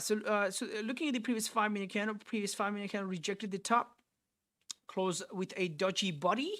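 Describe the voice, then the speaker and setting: A man's voice: sits high, sharp tone. A young man from Nepal, hosting a live trading stream and talking through his trades while answering chat, often repeating and restarting phrases as he thinks aloud.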